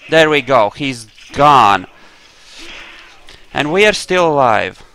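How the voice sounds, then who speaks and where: A man with an average-pitched voice hosts a video game playthrough. He talks casually and without hurry, explaining the character he plays and tossing trash talk at enemies. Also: big pitch swings, slow pace, pushed and bright, clean tone. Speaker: gamer, playthrough host. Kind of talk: casual role-play game commentary.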